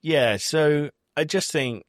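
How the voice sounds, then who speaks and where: A man's voice: a little high, slow, no room sound, studio quality. A man co-hosting a podcast, chatting casually about films in a blunt, conversational way with some swearing. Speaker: podcast host. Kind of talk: casual film review chat.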